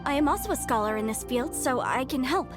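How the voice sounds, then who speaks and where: A woman's voice: high voice, lively intonation, brisk. A woman voicing an earnest young female scholar in a game, polite and a little hesitant, eager with her questions. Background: game music.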